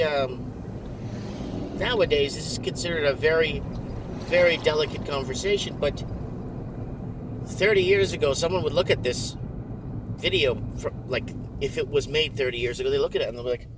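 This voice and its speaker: A man's voice: fairly high pitch, animated, moderate pace, long pauses. A man in his forties whose first language is Portuguese, musing in a loose, rambling vlog monologue and repeating words as he goes. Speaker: vlogger. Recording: distant mic with loud traffic noise.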